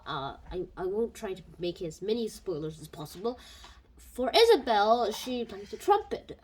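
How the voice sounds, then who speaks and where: A woman giving a casual spoken book review into a home microphone, rambling and thinking aloud. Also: mid-range voice.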